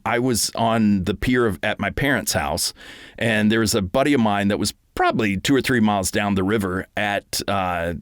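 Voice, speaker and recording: A middle-aged man and voice actor, talking casually from his home recording booth, relaxed and rambling with many ums and restarts. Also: on the low side, no room sound, studio quality. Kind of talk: casual talk to camera.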